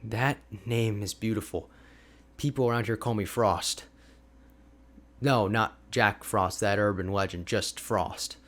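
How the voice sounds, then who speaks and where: A man playing an energetic, friendly young ice mage mentor in an ASMR roleplay, talking to the listener in an animated, informal, chatty way. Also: fairly low pitch, a little slow. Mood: encouraging, friendly. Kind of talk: ASMR roleplay audio drama, addressing the listener.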